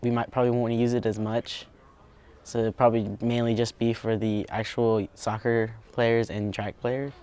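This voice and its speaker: A man's voice: mid-range voice, flat delivery, moderate pace, a little mellow, a little hiss or hum. A male student answering an interview casually and a bit unsure.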